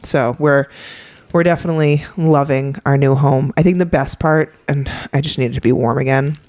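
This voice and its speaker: A young adult woman co-hosting a health podcast, talking in a chatty, quick way with frequent laughs. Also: rumbling low, fairly even intonation, darkish tone, no echo. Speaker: podcast co-host, health coach. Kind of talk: casual podcast chat.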